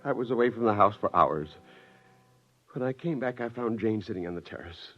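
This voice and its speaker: A man's voice: on the low side, fairly quick, soft background music, natural voice. An actor playing an exasperated father and scheming inventor in 1950s radio drama, blustering in dialogue and narrating his own story. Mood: rueful narration. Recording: dull vintage 1950s radio recording.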